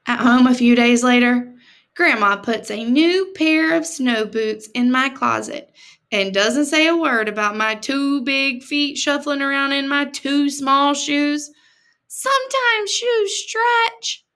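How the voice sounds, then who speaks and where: A woman's voice: a little high, steady tone, a little slow, fairly bright tone. An American woman reading a picture book aloud expressively, with dramatic emphasis and chatty, informal asides to her listeners. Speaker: read-aloud storyteller, teacher-like host.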